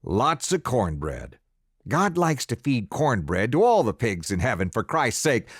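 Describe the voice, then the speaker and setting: A man doing a gruff harsh farmer father. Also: mid-range voice, sing-song, a little slow, dry recording, clean studio sound. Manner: gruff, cursing, commanding. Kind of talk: audiobook character voice.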